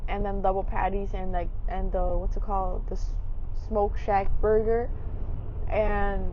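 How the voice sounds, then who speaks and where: A young woman telling a casual, rambling personal story, full of fillers and restarts and laughing as she goes, with a soft, quiet voice. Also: little pitch movement, a little slow. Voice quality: soft low-volume voice. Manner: rambling, lots of "like" and restarts, laughs while talking. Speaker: podcast host. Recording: slightly dull lo-fi recording with faint background noise.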